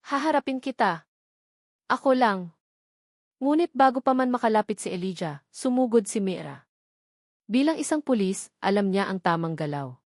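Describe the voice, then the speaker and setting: A woman's voice: mid-range voice, darkish tone, no echo. Woman narrating a drama, dry close sound. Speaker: story narrator. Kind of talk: scripted dramatic narration of a love story.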